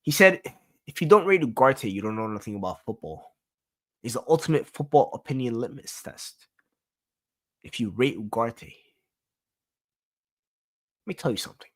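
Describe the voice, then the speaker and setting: A man's voice: medium pitch, expressive, moderate pace, long pauses. A young man hosting his own sports talk show, venting in a casual, exasperated rant full of repeats and swearing.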